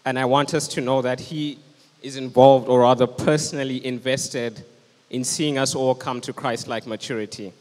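A man's voice: mid-range voice, steady tone, normal speed, darkish tone. A young man preaching a sermon on a church stage, earnest and urgent, into a handheld microphone. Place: church stage with band instruments, indoors. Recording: long hall reverb.

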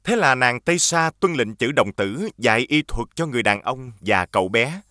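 A man's voice: medium pitch, lively intonation, moderate pace, sharp tone, dry recording, studio quality. A man narrating a fairy tale in a scripted storybook style.

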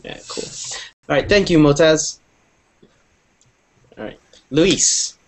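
A man's voice: fairly high pitch, expressive, takes it slowly. A young man teaching an online English class on a video call, upbeat and encouraging, often saying cool. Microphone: earphone mic on a video call.

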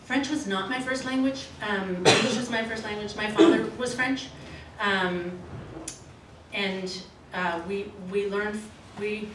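Brief: woman novelist at a podium, careful expressive reading, distant mic in a roomy hall, faint hiss. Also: mid-range voice. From grew up in Australia, Canada and the States. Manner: measured, expressive reading; halting and self-correcting when answering. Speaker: novelist reading and taking questions. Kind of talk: literary reading, then casual Q&A. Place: small event room with a red curtain, indoors. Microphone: podium microphone, recorded from a far camera.

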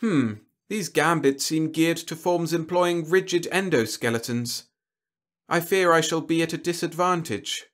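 A man's voice: a little high, unhurried, fairly bright tone, voice pushed up. A man voicing a genteel, pompous alien warlord in an audiobook, with fussy, over-polite, mannered speech. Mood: reluctant, courtly. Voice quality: scratchy. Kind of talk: audiobook character dialogue.

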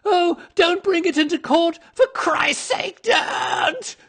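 A man's voice: normal speed, fairly bright tone. A man voicing a terrified, cringing small-time thief in an audiobook story, with a crackling, pleading voice. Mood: hysterical pleading. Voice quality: crackling, shrill when pleading.

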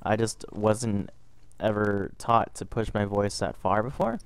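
Young man, a bit under the weather, casual rambling storyteller over game footage, low-pitched. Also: fairly even intonation, unhurried, clear, resonant tone, no echo. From Canada. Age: young adult. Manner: rambling, repeats words and restarts often. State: a little sick. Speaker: gaming vlogger.

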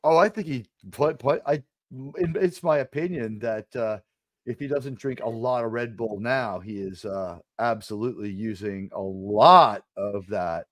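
A man hosting a casual online watch party, loud and joking, cursing freely as he talks, on a desk mic at home. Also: on the low side, unhurried, no echo, studio quality. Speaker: streamer host.

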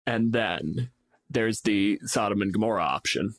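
A man's voice: fairly low pitch, measured pace. A man co-hosting a casual tabletop gaming discussion, dramatizing his examples with acted asides. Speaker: podcast co-host and gamemaster.

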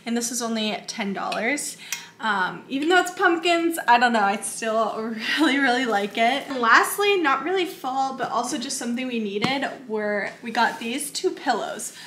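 A young woman shows off her shopping haul to the camera in a chatty, bubbly way, with lots of casual fillers. Her voice is fairly high and very bright. It bounces around a roomy home kitchen. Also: animated, normal speed, a little hiss or hum. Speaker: lifestyle vlogger. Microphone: camera in front, medium close framing. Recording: echoey kitchen.

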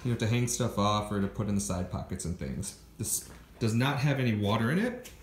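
Lowish male voice, casual gear rundown. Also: animated, a little fast, slight background noise. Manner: casual listing with many fillers and restarts. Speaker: hiker showing his gear. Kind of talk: casual gear walkthrough voice-over. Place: indoors at home, filming gear on a floor.

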